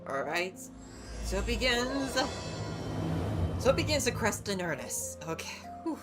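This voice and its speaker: A woman's voice: a little high, very animated, slow pace. A woman livestreamer giving casual gameplay commentary, chatty and musing aloud. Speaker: game livestreamer. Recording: game music behind.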